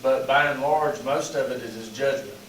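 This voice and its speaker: A hoarse pastor leads a small study group in a loose, meandering way, leaning on "you know" between thoughts. He sits back from the mic, and the room rings around his voice with a faint hum underneath. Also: medium pitch, normal speed, fairly bright tone. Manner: rambling, frequent "you know" fillers. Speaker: pastor leading a Bible study. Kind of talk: casual teaching and group discussion. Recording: echoey room, mic at a distance.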